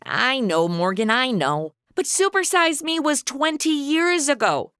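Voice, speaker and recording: A woman's voice: sits high, very animated, a little slow, a bit bright, no echo, clean studio sound, full character voice. A woman playing a flippant, chatty, clueless apprentice angel in an audio comedy, talking in casual slang.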